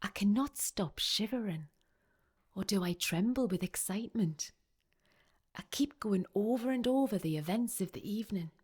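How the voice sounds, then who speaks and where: A woman playing an excitable, romantic young Victorian woman in a radio play monologue, telling her story in a confiding, expressive way. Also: mid-range voice, normal speed, husky. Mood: hushed, yearning.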